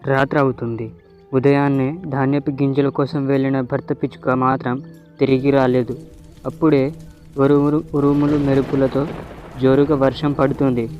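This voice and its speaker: A male narrator tells a scripted children's tale. His voice is mid-pitched and stays very even. Soft music plays under slightly dull audio. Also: normal speed.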